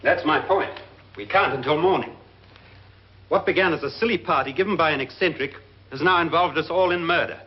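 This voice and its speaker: An actor playing a grave, suspicious guest in a haunted house, speaking formally and deliberately in 1950s horror film style. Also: male voice, fairly high pitch, close to an everyday voice. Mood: insistent. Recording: muffled 1950s film soundtrack.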